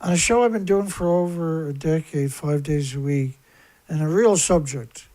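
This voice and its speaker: Guy w a squeaky kinda high voice doing a rambly livestream monologue, blunt n fed up, repeats himself for emphasis. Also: moderate pace, warm tone. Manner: aggrieved, emphatic, repetitive, blunt. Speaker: live stream host, environmental activist. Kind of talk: rambling live-stream monologue on a serious topic.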